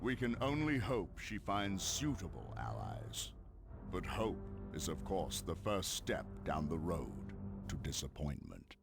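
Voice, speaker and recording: Stern, ominous sci-fi game narrator: deep, creaky, measured and solemn. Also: male voice, very animated, over music, exaggerated voice. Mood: solemn foreboding. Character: grave male Imperial narrator, stern and foreboding. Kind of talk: dramatic scripted game narration.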